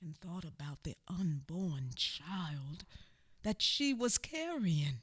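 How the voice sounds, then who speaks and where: A woman narrating her grandmother's story in a radio theatre play, measured and solemn. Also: fairly low pitch, lively intonation, a bit bright, husky, no echo, clean studio sound.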